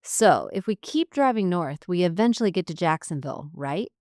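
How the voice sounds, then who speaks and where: Brief: a woman as an inquisitive travel planner, low and dry. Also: lively intonation, moderate pace, darkish tone, dry recording, close to an everyday voice. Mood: eager. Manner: eager, inquisitive. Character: curious adult woman traveler, eager to plan.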